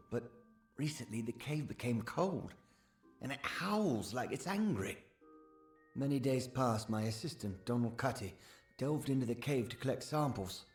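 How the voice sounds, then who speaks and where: A man's voice: medium pitch, lightly acted. A man voicing an eager, self-effacing village sagecrafter in a fantasy game, earnest, hesitant and deferential. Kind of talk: scripted game dialogue. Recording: roomy echo, background music.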